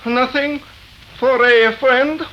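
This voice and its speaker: An actor playing a humble, cryptic man, speaking in solemn, halting proverbs in an old radio melodrama. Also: very high-pitched, fairly even intonation. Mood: grave, humble. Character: serene, fatalistic old-world Chinese man, humble and cryptic. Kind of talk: 1930s radio melodrama acting. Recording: dull, noisy 1930s radio recording.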